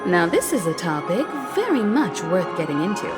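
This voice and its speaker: A woman's voice: fairly low pitch, sing-song, normal speed. A woman voicing a poised, persuasive navigator in a video game, speaking in a polished, diplomatic way. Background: game music.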